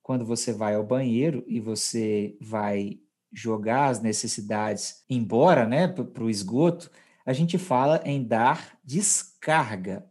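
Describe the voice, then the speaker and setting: Husky Brazilian man teaching, mellow voice. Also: medium pitch, takes it slowly. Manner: explanatory, checks in with co-host. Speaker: podcast host teaching Portuguese. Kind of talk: conversational language lesson.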